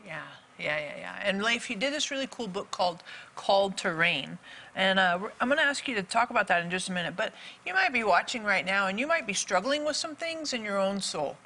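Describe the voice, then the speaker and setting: Bright woman hosting a talk show. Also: mid-range voice, lively intonation, a little fast. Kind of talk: presenting and interviewing.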